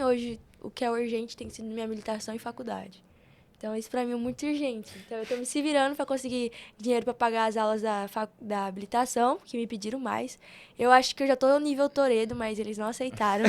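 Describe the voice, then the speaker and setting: A young woman with a Brazilian Portuguese accent, speaking casually and emphatically as a podcast guest, with slangy repetition. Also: on the high side. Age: young adult. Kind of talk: casual, chatty interview answers.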